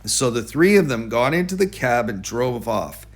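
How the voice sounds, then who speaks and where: A man reading a children's story aloud in an expressive storytelling style. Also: mid-range voice, normal speed. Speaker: storybook reader.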